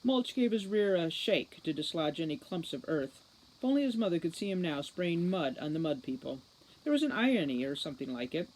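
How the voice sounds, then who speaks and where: A woman's voice: low-pitched, very animated, fairly quick, darkish tone, poor audio. A woman reading scripted storybook narration as an audiobook reader.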